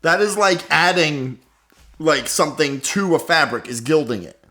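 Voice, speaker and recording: A man's voice: a little high, expressive, normal speed, pushed and bright. A man hosting a comedy podcast with improvised banter.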